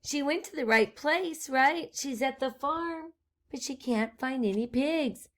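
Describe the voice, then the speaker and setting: A woman doing an expressive children's read-aloud, pausing the story to ask listeners questions. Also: high-pitched. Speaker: storytime reader, teacher.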